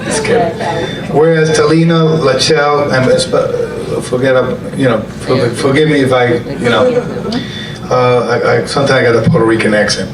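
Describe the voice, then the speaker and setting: A man reads a formal resolution at a public ceremony, stumbling over words and breaking off for jokes. His voice sits at an ordinary pitch. He is heard from some distance in an echoing hall, under heavy room noise. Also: steady tone, a crowd in the background. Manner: halting, with jokes and self-corrections. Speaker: city council member. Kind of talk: reading a council resolution at a ceremony. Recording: echoey hall, far mic, loud room noise.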